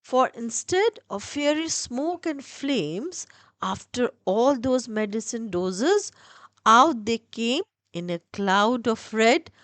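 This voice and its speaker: Woman doing kids storybook narration, rhyming, super expressive, takes her time, very dry close sound. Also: a little high, no room sound. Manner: expressive, theatrical, deliberate storytelling.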